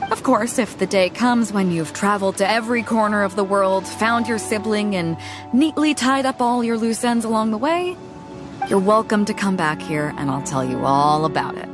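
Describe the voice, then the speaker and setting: A woman voicing a confident, easygoing female ship captain in a video game cutscene, speaking in a relaxed, knowing way. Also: medium pitch, normal speed, lightly acted. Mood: sly. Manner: relaxed, knowing, laughs often. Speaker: fleet captain. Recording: game music under the voice.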